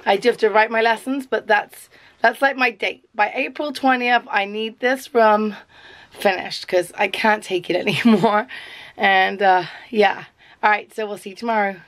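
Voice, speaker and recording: A woman's voice: mid-range voice, fairly even intonation, normal speed. A woman in her thirties chatting casually into a handheld camera in a cluttered bedroom at home, rambling and poking fun at herself. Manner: chatty, rambling, self-deprecating. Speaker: vlogger, teacher. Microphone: handheld camera at arm's length.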